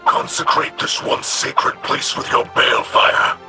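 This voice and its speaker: Proud alien warrior lord for a game, raspy and breathy, super solemn, loud-ish music behind. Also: male voice. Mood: stern, indignant. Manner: formal, solemn, measured diction. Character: grave, proud male alien warrior-noble of a fallen house. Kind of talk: scripted video game voice acting.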